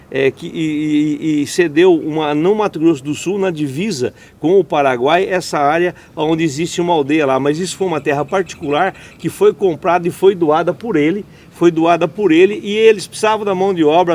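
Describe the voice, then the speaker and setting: A man's voice: fairly high pitch, a little mellow. A Brazilian man hosting an interview, talking indignantly in long run-on sentences with many restarts. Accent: Brazilian Portuguese. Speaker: interview host. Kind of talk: conversational interview.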